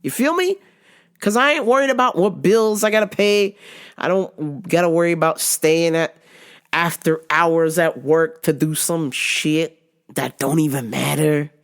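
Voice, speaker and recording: A woman's voice: deep voice, unhurried. A woman hosting her own podcast, congested with a sore throat, rambling casually, swearing a lot and laughing often. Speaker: podcast host. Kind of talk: casual solo podcast monologue.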